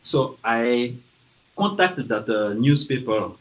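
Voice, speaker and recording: A man from Guinea with a French accent talks to a library audience about his book. He speaks slowly and carefully, with frequent hesitations. He acts out quotes as he tells the story, in a voice of ordinary pitch. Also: lively intonation. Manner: slow, careful delivery with hesitations and acted-out quotes. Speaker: author presenting his book. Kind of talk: informal talk to an audience. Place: library event room.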